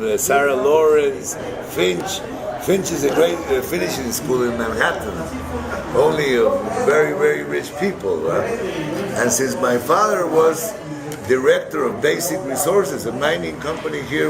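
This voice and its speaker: An older man telling his life story in an interview, halting and slangy, with many restarts. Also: a little high, gravelly. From Guatemala. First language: Spanish. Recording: crowd noise behind. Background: busy crowd chatter.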